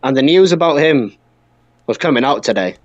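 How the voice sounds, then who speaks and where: A man's voice: medium pitch, animated, normal speed, dry recording. Guy hosting a podcast, argumentative, voice swings all over, dry but kinda muffled sound. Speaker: wrestling podcast host. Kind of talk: casual podcast debate.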